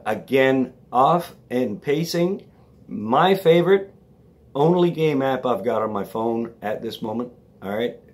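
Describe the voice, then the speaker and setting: A man hosting his own vlog, slightly raspy from allergies, talking casually and rambling, often checking in with the viewer. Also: mid-range voice, a little mellow. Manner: chatty, rambling, checks in with "all right?". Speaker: vlog host. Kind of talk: casual talk to camera.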